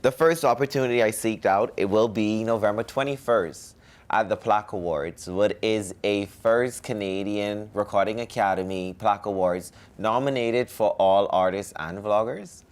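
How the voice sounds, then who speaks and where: A man's voice: lowish voice, normal speed. A young man from the Bahamas, a musician answering interview questions in a cocky, self-promoting way.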